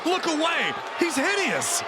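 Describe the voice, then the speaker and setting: A man calling a wrestling show as an excitable commentator. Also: extremely high pitch, unhurried. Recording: loud crowd and arena noise.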